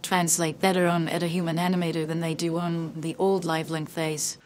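A man speaking through an AI voice changer that turns his voice into a woman's, voicing a calm digital woman avatar in a plain, matter-of-fact way. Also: on the high side, normal speed.

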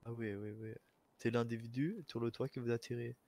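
A man plays a police officer in improvised game role-play. His voice is mid-pitched and conversational, and he argues his point insistently. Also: fairly even intonation, fairly quick, a little mellow.

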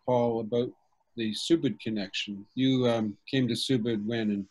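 A man with a slightly husky voice asking the guest thoughtful questions over a video call. He speaks in a measured, polite way at an ordinary pitch. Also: steady tone, darkish tone, low-quality recording. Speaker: audience questioner.

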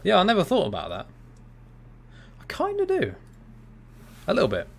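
A young man doing casual, chatty live commentary on a fighting game from a small room at home, shouting out reactions and laughing with viewers. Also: high-pitched, wildly swooping pitch, moderate pace, gravelly, slightly rough. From half Cypriot. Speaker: gaming streamer.

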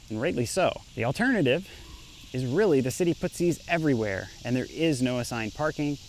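A man's voice: medium pitch, slight background noise. A young man, an engineer, casually explaining to camera while standing outdoors on a residential street. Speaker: engineer and startup founder.

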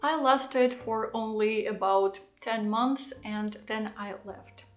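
A woman in her thirties, not a native English speaker, talking to the camera at home in a thoughtful, unhurried vlog with soft laughs. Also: on the high side. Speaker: vlogger. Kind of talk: casual talking-head vlog. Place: home living room, indoors. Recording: echoey room.

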